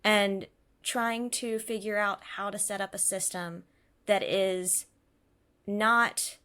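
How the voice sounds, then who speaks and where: A woman's voice: mid-range voice, fairly even intonation, takes it slowly, bright, forceful tone, clear, resonant tone. A woman game designer on a podcast panel, explaining things casually in a thoughtful, analytical way.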